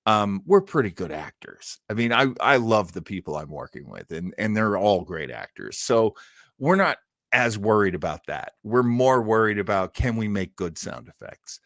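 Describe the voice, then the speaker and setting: A man's voice: fairly low pitch, very animated, moderate pace, fairly bright tone, no room sound, studio quality. A man hosting an old-time radio show, chatting casually and enthusiastically to his audience in a rambling, conversational way. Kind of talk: casual chat to a live audience.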